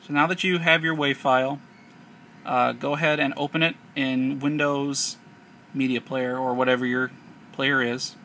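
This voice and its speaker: Bright-voiced American man giving a tutorial. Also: medium pitch, little pitch movement, normal speed. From United States. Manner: explanatory, step-by-step instruction. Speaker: tech tutorial presenter. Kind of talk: unscripted hands-on tutorial narration. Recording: noisy amateur handheld camera audio.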